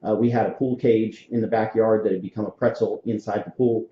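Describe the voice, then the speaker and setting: Low-voiced Florida man hosting a podcast. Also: fairly even intonation, fairly quick. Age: 40s. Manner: friendly, storytelling, frequent fillers. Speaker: podcast host. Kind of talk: casual interview chat. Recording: echoey room, dull lo-fi sound.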